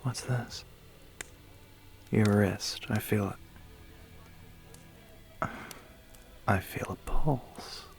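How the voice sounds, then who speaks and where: A man voicing a suave, menacing vampire biker in an ASMR roleplay, slow and teasing with a breathy, gravelly half-whisper. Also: on the low side, fairly even intonation, soft background music. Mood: amused, teasing. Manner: slow, teasing, quietly threatening.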